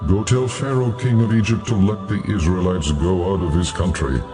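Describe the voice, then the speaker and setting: A very deep, solemn divine male voice, played by an actor, declaring and commanding with stately authority in a scripture audio drama. Music plays clearly behind the words. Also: steady tone, normal speed, natural voice.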